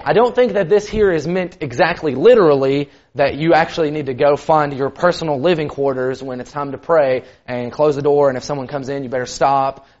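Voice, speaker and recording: A man giving a sermon in a loud, carrying voice, casual and conversational with lots of "kind of". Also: on the high side. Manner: loud voice that carries; conversational, many fillers like "kind of". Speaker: preacher. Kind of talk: sermon teaching. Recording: dull lo-fi recording.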